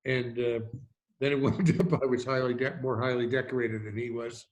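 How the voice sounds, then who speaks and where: Older male storyteller, medium pitch, conversational and reminiscent, wandering delivery. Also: steady tone, normal speed. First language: English. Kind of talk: casual anecdotal chat. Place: online video call.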